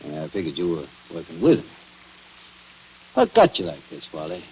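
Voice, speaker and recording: An actor playing a shrewd, regretful American police chief, probing and plain-spoken, in 1940s radio drama style. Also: male voice, low-pitched, wildly swooping pitch, normal speed, a little mellow, husky, full character voice. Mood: probing, sober. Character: shrewd small-town police chief, calm, regretful, disappointed friend. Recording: noisy, hissy 1940s radio recording.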